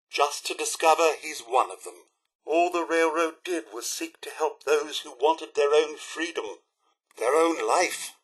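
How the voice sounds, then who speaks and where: An actor playing a polite, fussy robot butler in a video game, with a British accent and a formal, prim, drily droll manner. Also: male voice, fairly high pitch, sharp tone, slightly rough, full character voice, rough recording. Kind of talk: scripted video game voice acting.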